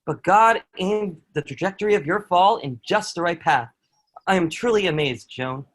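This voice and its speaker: A man's voice: high voice, animated, moderate pace, a bit bright. A man playing a proud, dutiful enemy soldier who is secretly awed by his captive, in an earnest, formal table read of a historical drama. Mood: amazed. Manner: earnest, formal archaic phrasing.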